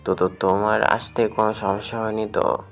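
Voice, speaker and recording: A man's voice: on the low side, flat delivery, a little fast, faint music behind, close to an everyday voice. A man acting a fatherly older office manager in an audio story drama, speaking in an earnest, avuncular way. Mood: avuncular, obliging.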